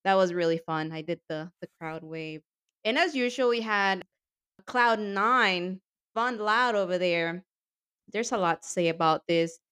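A woman co-hosting a podcast in casual chat, enthusiastic and laughing often, her voice a little raspy from yelling. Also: on the low side, measured pace. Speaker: podcast co-host. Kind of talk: casual chat about women's soccer.

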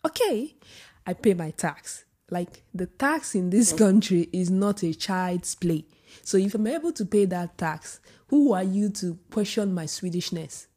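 Husky, opinionated woman vlogging. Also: mid-range voice, animated, a little slow, mellow. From Sweden. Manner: opinionated, rising to emphatic outbursts; many restarts and filler words. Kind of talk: casual opinion monologue to camera.

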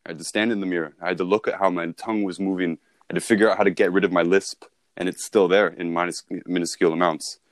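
A man's voice: low voice, steady tone, fast talker, dry recording. A man speaking as a sales mentor in an informal training talk, with an instructive, conversational and emphatic way of explaining.

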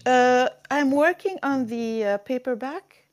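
A woman's voice: high-pitched, unhurried, no room sound. A woman hosting a casual live chat, a friendly and patient Arabic teacher who helps guests find their words.

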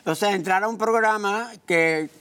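A man from Puerto Rico, a comedy actor, recalls old shows with a high, light voice. He rambles and restarts his sentences while searching for words in easy conversation. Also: a bit bright, clear, resonant tone. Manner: rambling storyteller, halting with many restarts. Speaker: comedian guest. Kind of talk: casual interview chat.

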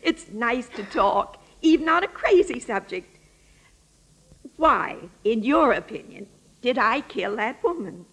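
Radio drama role: sly old former actress, high voice, folksy chatter, hungry for attention. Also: female voice, animated, measured pace, a bit bright, husky, heavily acted, rough recording. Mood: wistful, sly. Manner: chatty, folksy, coyly evasive.

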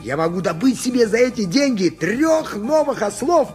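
An actor playing a poor, simple donkey driver in a radio play, humble and pleading, stammering and exclaiming. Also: male voice, extremely high pitch, big pitch swings, moderate pace, darkish tone, faint music behind. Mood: gleeful. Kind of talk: 1980s radio play acting.

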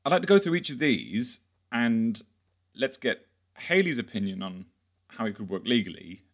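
A man hosting a podcast interview in a conversational, halting way, rephrasing as he goes. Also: medium pitch, big pitch swings. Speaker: podcast host.

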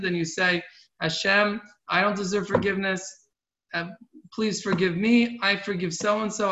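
This is a middle-aged man with a high-pitched, forceful voice, teaching an informal religious class. He speaks earnestly and haltingly, often repeating a phrase before finishing the thought. The recording is echoey and somewhat muffled. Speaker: rabbi giving a class. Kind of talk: informal religious lecture with question and answer.

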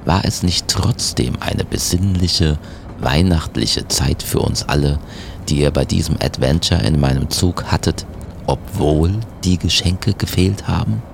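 A man's voice: a bass voice, unhurried, vocal fry, noisy background, full character voice. A man playing a grandiose, sentimental villain mastermind in an audio play, speechifying solemnly.

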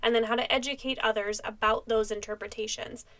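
A woman's voice: a little high, steady tone, fairly quick, sharp tone. A young American woman hosting a podcast, reading scripted narration. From United States. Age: young adult. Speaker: podcast host.

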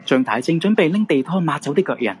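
A man's voice: on the high side, expressive, quick pace, faint music behind. A man with a Hong Kong Cantonese accent narrating a supernatural radio drama in a dramatic storytelling style.

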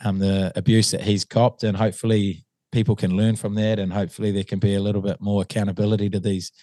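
A New Zealand man with a fairly low, slightly hoarse voice, chatting as a guest. He hesitates a lot, with ums and false starts. The sound is dry and close, with no room around it. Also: level tone, quick pace, warm tone, no echo. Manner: hesitant, many ums and restarts. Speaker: assistant rugby coach, guest. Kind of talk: casual interview chat.

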